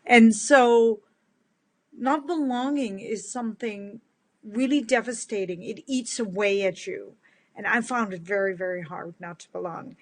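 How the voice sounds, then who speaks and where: A middle-aged woman with a big booming voice, giving an earnest, emphatic motivational talk straight to camera and repeating words for stress. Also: a little slow. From Netherlands. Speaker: motivational speaker. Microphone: facing several cameras.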